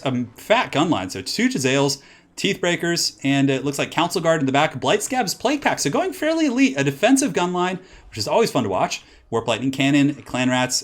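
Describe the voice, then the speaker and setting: Rambling young man casting a strategy game. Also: mid-range voice. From California. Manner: rambling, filler-heavy with many "like"s. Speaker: streamer and caster. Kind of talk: casual game commentary with chat.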